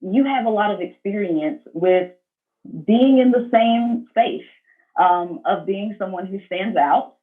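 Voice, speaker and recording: Woman hosting an online panel, affirming and measured, tinny narrow video call sound. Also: medium pitch. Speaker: panel moderator. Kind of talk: moderated panel discussion. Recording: thin, band-limited video call audio.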